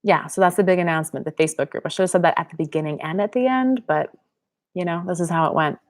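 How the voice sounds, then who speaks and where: A woman's voice: on the low side, quick pace. A young woman from Pennsylvania hosting a live-stream Q&A, chatting casually and reading viewer comments aloud. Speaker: channel host.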